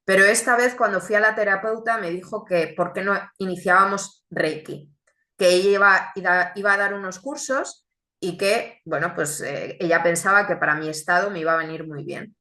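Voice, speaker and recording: A woman, born in Chile, giving an earnest, reflective religious testimony with deliberate pauses. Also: mid-range voice, sharp tone, echoey room. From Chile, raised in Spain.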